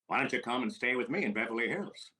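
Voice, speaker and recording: An actor playing a wisecracking, fast-talking middle-aged comedian in an old-time radio comedy recreation. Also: male voice, mid-range voice, expressive, sharp tone. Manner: quick wisecracks, sly teasing delivery.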